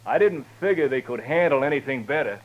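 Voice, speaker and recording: A man's voice: mid-range voice, lively intonation, brisk, muffled recording, natural voice. A man playing a talkative, folksy American livery stable keeper in a 1950s radio western, speaking hesitantly. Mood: folksy, obliging. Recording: noisy old radio recording.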